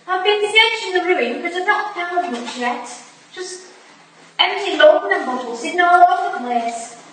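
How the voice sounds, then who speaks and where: Woman as an eager high-voiced young Victorian apprentice detective. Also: animated, moderate pace. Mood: curious, puzzled. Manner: earnest, inquisitive, deferential. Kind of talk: staged reading of a period drama. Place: live staged reading in a roomy indoor venue. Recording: distant, echoey hall, faint noise.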